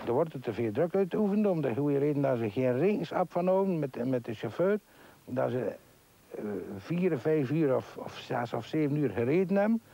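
Lively high-voiced Flemish man. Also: normal speed, gravelly, a little hiss or hum. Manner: animated, acts out a dialogue in his answer. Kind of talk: interview answers.

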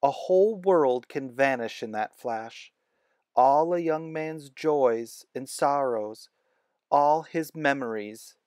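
A man's voice: medium pitch, slow, darkish tone, dry recording, studio quality, lightly acted. A man voicing a wise, solemn, kindly woman war nurse in a children's storybook. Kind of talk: children's storybook read-aloud.

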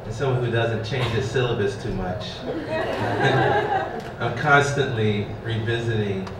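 A low-voiced man who teaches answers from a panel, wry and relaxed. His voice echoes strongly from a distance in a large hall, with noise underneath. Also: takes it slowly.

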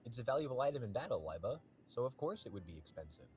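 A man's voice: medium pitch, big pitch swings, racing, a little mellow, close to an everyday voice. A man playing a good-natured, businesslike male Pokemon shopkeeper in a fan comic dub. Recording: faint music under the voice.

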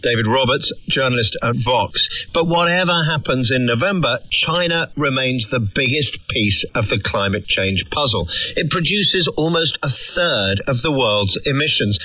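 A male radio interviewer presents current affairs in a broadcast style. His voice sits at a middling pitch and comes through dulled, as captured from shortwave radio. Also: no room sound, highs cut off. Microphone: broadcast heard over shortwave radio.

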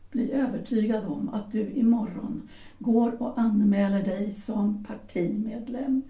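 A woman's voice: medium pitch, mellow, natural voice. An actress playing a persuasive young wife who insistently urges her husband on, in an audio drama. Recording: roomy echo, distant from mic.

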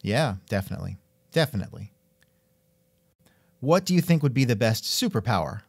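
Animated, wry male interviewer. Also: medium pitch, moderate pace, gravelly, husky, no room sound, clean studio sound. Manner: wry, musing, interjects with hmms. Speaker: podcast host and interviewer. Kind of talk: casual scripted interview chat.

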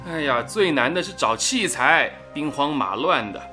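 A talkative, earnest wartime radio engineer in a radio play. His voice is bright and forceful, highly animated and a little high, with an exclamatory delivery. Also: normal speed, soft background music. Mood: lively, teasing. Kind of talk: radio drama acting.

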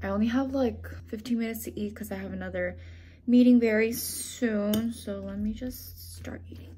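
A woman's voice: on the high side, moderate pace. A young woman in her twenties chatting casually to her phone camera as she goes about her week, dropping in Korean words. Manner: chatty, excitable, mixes in Korean phrases. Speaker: vlogger. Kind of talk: casual vlog narration. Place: various indoor spots, cafe, car, home. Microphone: handheld phone camera.

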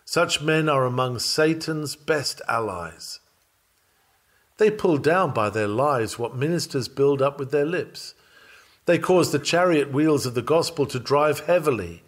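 A man's voice: on the high side, expressive, a little slow. Solemn man reading a sermon. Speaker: narrator reading a written sermon.

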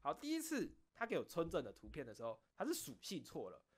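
A man chatting casually on his own as a streamer, rambling through stories in an animated, self-deprecating way. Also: a little high, fast, husky. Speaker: streamer and video creator. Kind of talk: casual solo chat, recounting behind-the-scenes stories.

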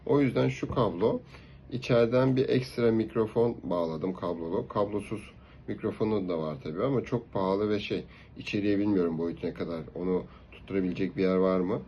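A man's voice: on the low side, a little mellow, a little hiss or hum. A man talking casually and explaining gear to camera in a conversational vlog style. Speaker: motorcycle vlogger.

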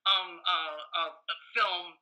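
An older woman with a very bright, forceful voice talks like an enthusiastic academic guest in an interview. She is conversational and scholarly, pausing often on ums as she gathers her thoughts. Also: lowish voice, fairly even intonation, muffled recording. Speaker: guest professor and author.

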